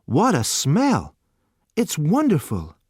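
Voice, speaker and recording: Man voicing a naive teenage boy, excitable, exclaiming, raised pitch, lively, storybook. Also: a little slow, no room sound, studio quality. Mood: delighted. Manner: excited, wide-eyed, exclaiming. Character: naive, eager seventeen-year-old boy.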